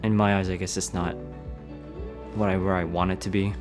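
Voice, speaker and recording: A man in his thirties speaking haltingly, with many fillers, about his body. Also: low voice, level tone, over music. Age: 30s. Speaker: participant. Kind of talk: candid conversation.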